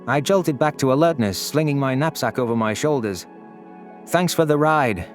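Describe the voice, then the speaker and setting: A man's voice: mid-range voice, moderate pace, soft background music. A man reading scripted first-person science fiction narration as a story narrator. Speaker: narrator of audio stories.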